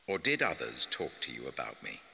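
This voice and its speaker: Man acting a calm, deep, solemn holy man. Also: a little fast, creaky, full character voice. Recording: long hall-like reverb.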